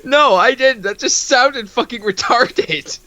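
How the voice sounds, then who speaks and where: A man's voice: very high voice, slow, pushed and bright, dry recording, dull audio. A man co-hosting casual comedic banter, blunt, foul-mouthed and exasperated as he reacts. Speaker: co-host reacting to a comic reading.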